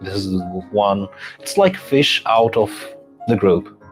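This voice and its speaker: Man from Syria, Arabic native speaker, lowish voice, hesitant, soft music behind. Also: sing-song, slow, rough recording. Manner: hesitant, searching for words. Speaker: language teacher. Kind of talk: interview.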